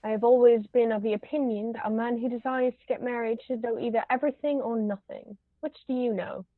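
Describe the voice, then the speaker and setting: A woman's voice: fairly even intonation, a little fast, lightly acted. A woman reading the part of an imperious, snobbish older aristocratic lady in a stage comedy, pompous and formal in manner. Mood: pompous, judging. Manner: pompous, formal, pronouncing judgments. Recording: roomy, muffled low-quality recording.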